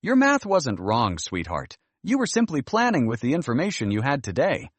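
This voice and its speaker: Man voicing a kindly, wise storybook grandma, very animated. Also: medium pitch, moderate pace, slightly rough, no echo. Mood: gentle, thoughtful. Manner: gentle, encouraging, expressive.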